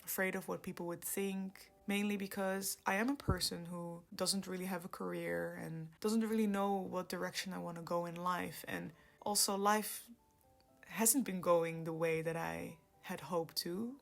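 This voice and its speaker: A woman from the Netherlands in her thirties, telling her personal story in a soft-spoken, reflective way, laughing often and sometimes trailing into a whisper. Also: on the low side, steady tone, normal speed, creaky. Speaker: vlogger. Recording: light background music.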